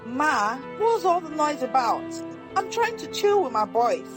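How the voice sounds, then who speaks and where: A woman's voice: sits high, very animated, unhurried, over music, voice pushed up. A woman voicing a spoilt, defiant American teenage boy in a story, casual and suspicious.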